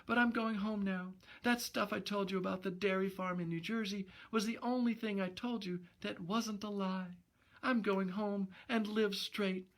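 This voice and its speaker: A man's voice: high-pitched, fairly bright tone, voice pushed up. A man reading a storybook voicing a young woman who pleads tearfully and repents.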